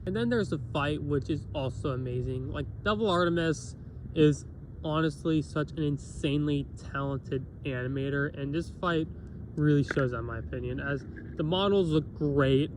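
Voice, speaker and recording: A man's voice: a little high, normal speed. Man, nasal, coughing, casual reviewer, rambling restarts, noisy outdoor street recording. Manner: rambling, with many restarts and self-corrections. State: has a cough.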